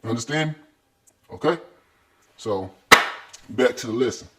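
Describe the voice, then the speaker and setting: A man's voice: medium pitch, expressive, measured pace, distant mic, noisy background. A man from the United States hosting a video, reacting to a lecture in heated, rambling, slangy talk. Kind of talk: casual commentary. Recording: roomy, away from the mic.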